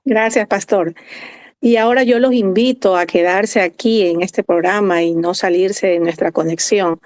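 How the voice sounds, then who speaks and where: A woman's voice: medium pitch, fairly even intonation, moderate pace, no room sound. A woman hosting a faith podcast, formal in tone and asking reflective questions with some hesitation. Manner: formal, warm introductions, hesitant fillers. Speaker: host.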